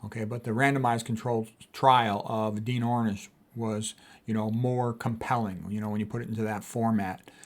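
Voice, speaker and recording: A man's voice: on the low side, fairly even intonation, normal speed. A man, a physician, explains points in an informal lecture, with an emphatic, opinionated manner and plain repetition. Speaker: physician presenting health lecture, author. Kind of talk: informal explanatory lecture reading and commenting on quotes.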